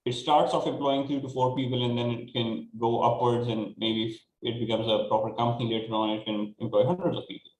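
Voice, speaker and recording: A man with a Pakistani accent explaining things informally as a panelist, in a halting way that repeats words. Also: medium pitch, a little mellow. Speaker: panelist, project grantee. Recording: echoey room, faint background noise.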